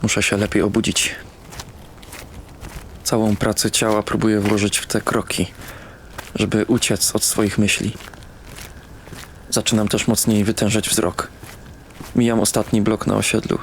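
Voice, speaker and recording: An actor plays a numb, troubled young man telling his own story in a radio play. His voice is low and full of gravelly fry, subdued and halting, in short first-person sentences. Ambient noise runs underneath. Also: flat delivery. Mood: numb, uneasy. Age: young adult.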